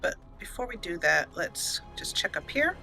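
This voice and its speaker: A woman's voice: lowish voice, sing-song, moderate pace, gravelly, low-quality recording. A woman hosting a let's play, chatting casually and self-mockingly as she plays, often giggling. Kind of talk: casual gameplay commentary. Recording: faint game music behind. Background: game music.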